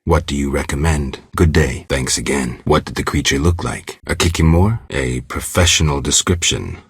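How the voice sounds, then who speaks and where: A man playing a gruff, laconic monster hunter for hire in a video game, speaking tersely with a dry, deadpan delivery and a gravelly rasp. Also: rumbling low, moderate pace, no room sound, full character voice. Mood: dry, reserved. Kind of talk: video game dialogue acting.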